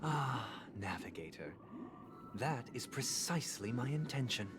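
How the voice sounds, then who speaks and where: An actor playing a solemn, idealistic leader in a video game, formal, courteous and ominously measured. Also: male voice, medium pitch, very animated, over music. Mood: composed, resolute. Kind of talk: dramatic video game voice acting.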